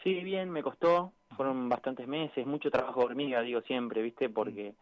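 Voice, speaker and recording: A man's voice: medium pitch, normal speed, dry recording. Argentine man on a dull phone line. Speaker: interviewed amateur triathlete. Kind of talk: casual radio interview.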